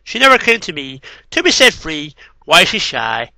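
A man's voice: fairly high pitch, very animated, moderate pace, creaky, sharp tone, dry recording, poor audio. A man in his thirties reading poetry as an amateur, with casual asides, into a headset mic in a small room. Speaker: amateur poetry reader and video maker. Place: small cluttered bedroom. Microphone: headset microphone.

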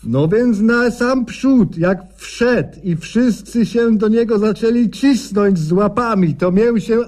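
High-voiced, grumbling male patient. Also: takes it slowly, a little mellow. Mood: bitter, grumbling. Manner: folksy, grumbling, colloquial. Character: aggrieved, envious, courtly male patient, plain-spoken. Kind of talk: satirical radio sketch acting.

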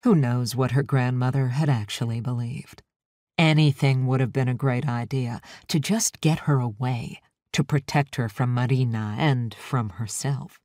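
A woman reading an audiobook in an even, measured storytelling manner. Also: rumbling low, dry recording. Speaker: audiobook narrator. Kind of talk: scripted narration. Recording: dry studio audiobook recording.

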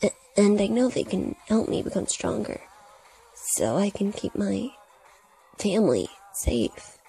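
A woman voicing a reserved, dutiful young male villain heir in a comic dub, speaking softly, earnestly and haltingly. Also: medium pitch, very animated, creaky, faint music behind, poor audio. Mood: shyly content.

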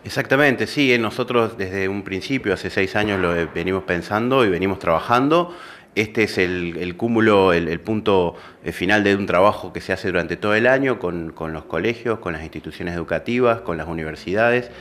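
A middle-aged man, an employment office coordinator, speaking in a steady official way with fillers, into a handheld microphone in an office room. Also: low-pitched, normal speed. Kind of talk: news interview. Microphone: handheld reporter microphone.

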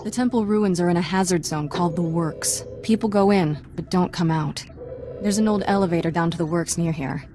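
A woman's voice: lowish voice, a little fast, background noise, lightly acted. An actress playing a plucky, wry young female Jedi apprentice in a video game, with a casual delivery. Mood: wry, determined. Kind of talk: video game cutscene acting.

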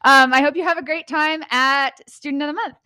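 A woman's voice: high-pitched, steady tone, fairly quick, sharp tone, dry recording, clean studio sound. Friendly woman presenting school awards. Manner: friendly, with fillers. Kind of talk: school announcements. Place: small school studio, indoors. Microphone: handheld mic.

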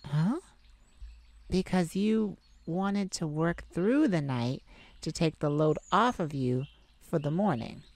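Woman acting a sweet, slow-talking, tender boyfriend. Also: low-pitched, expressive, no room sound, exaggerated voice. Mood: gently concerned. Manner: tender, gently teasing and coaxing, with sighs. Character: sweet, caring young boyfriend comforting his partner. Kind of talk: intimate ASMR roleplay.

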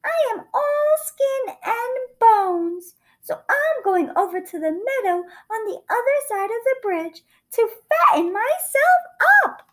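A woman's voice: very high-pitched, sing-song, takes it slowly, a bit bright, pitched above the performer's own voice, heavily acted. A woman voicing a tiny, timid young male billy goat in a storybook, with a very small, trembling, pleading voice. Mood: meek, pleading. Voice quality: very small, thin voice. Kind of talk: children's storybook character voice.

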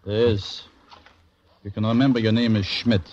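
An actor playing a soft-spoken, coaxing sailor with quiet menace in an old radio drama. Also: male voice, lowish voice, moderate pace, lightly acted. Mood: coaxing, calm menace. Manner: hushed, calm, coaxing. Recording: muffled, noisy old radio recording.

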